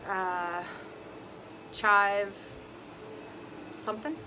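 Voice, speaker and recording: A woman's voice: medium pitch, steady tone, drawn-out words, background noise. A woman in her forties, chatting casually to a phone held at arm's length in a travel vlog, giggling now and then. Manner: chatty, giggly, talks to camera. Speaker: vlogger. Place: car, restaurant and hotel hallway. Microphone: phone camera at arm's length.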